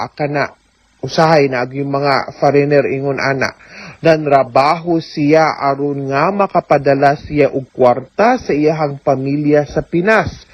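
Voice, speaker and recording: A woman's voice: lowish voice, no echo. A woman with a Cebuano accent hosting a radio advice show, chatty and conversational, with asides and tag questions. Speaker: radio advice host, attorney. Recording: dull lo-fi radio recording.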